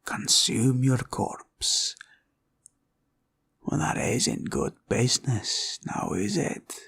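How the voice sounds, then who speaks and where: A man voicing a sly, greedy green dragon villain in a fantasy roleplay, with a husky growl and taunting, drawling delivery. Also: mid-range voice, expressive, fairly bright tone, heavily acted. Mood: menacing, taunting. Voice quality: gravelly, husky, breathy growl. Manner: slow, drawling, taunting, archaic turns of phrase.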